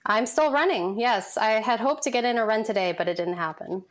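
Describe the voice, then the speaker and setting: A woman's voice: fairly high pitch, brisk. A woman in her forties who grew up in San Francisco, guest on a podcast, telling her story in a reflective, chatty way with many "you know" fillers. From San Francisco, United States. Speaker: guest, content design manager. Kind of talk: casual podcast interview.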